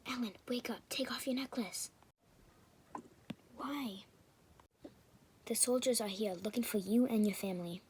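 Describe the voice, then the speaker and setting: A woman's voice: fairly high pitch, a little slow, long pauses, darkish tone, natural voice. A woman voicing frightened young girls in a children's puppet show, anxious and urgent, in a noisy home recording. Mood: urgent, scared.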